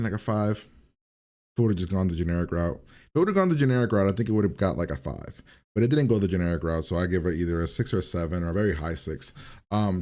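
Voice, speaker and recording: A man's voice: deep voice, warm tone. A man hosting a reaction video, giving a casual, informal review and rambling as he repeats and revises his points.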